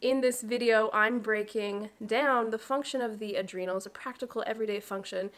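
A woman's voice: a little high, fairly even intonation, moderate pace. Woman in her 30s, wellness host, a bit nasal from allergies, explanatory talk to camera at home. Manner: warm, explanatory, with chatty asides. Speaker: wellness coach and channel host. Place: home living room.

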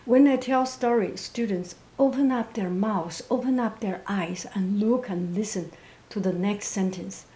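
A woman of medium pitch speaks English as a second language. Her voice is soft, quiet and monotone, with little force behind it. She answers at a very slow pace, gently and haltingly, reflecting as she goes. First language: not English. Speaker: interviewee, painter and teacher.

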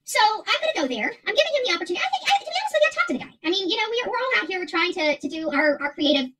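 A woman's voice: very high-pitched, big pitch swings, rapid-fire, fairly bright tone. A middle-aged woman chatting casually to livestream viewers as host, emphatic, repeating herself and rambling. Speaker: livestream creator and channel host. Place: small furnished room at home, indoors.

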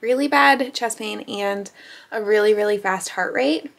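A woman's voice: medium pitch, measured pace, creaky, a bit bright. A young woman vlogging at home, talking to a handheld camera in a chatty, caring way. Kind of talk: casual vlog chat. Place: rooms of a home. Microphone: handheld camera at arm's length.

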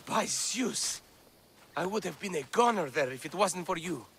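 An actor playing an eager, bookish scholar of antiquities in ancient Greece, with florid, theatrical enthusiasm in video game dialogue. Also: male voice, high-pitched, expressive, normal speed, fairly bright tone, slight background noise.

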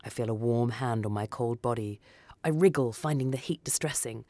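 A woman reading a short story for radio in a theatrical, deliberate, dramatic narrating style. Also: rumbling low, warm tone, no room sound.

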